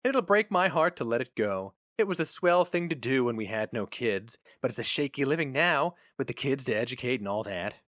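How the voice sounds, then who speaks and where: A man's voice: mid-range voice, expressive, fairly quick, hardly any pauses, a bit bright, no echo, clean studio sound, voice pushed up, lightly acted. A man voicing an eager, stubborn young husband who speaks earnestly and insistently.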